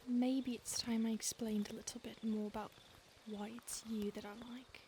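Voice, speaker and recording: A woman voicing a centuries-old female vampire stalker in an audio roleplay, speaking softly and often in a whisper, calm and menacing. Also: fairly high pitch, normal speed, natural voice. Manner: soft, intimate, often whispered. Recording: noisy background hiss.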